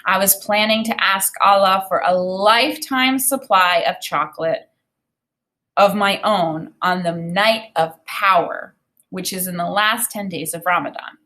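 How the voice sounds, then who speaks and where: A woman in her thirties, an elementary teacher, reading a children's novel aloud and explaining it in an expressive way. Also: medium pitch, measured pace. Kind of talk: read-aloud of a children's novel with think-aloud commentary. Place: small indoor room with bookshelf and whiteboard.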